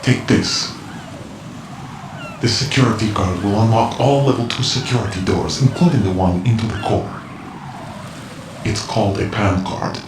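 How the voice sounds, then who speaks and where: An actor playing a mysterious, cryptic male informant in a video game cutscene, speaking in a measured, lecturing way. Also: lowish voice, close to an everyday voice. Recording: roomy game mix with loud music.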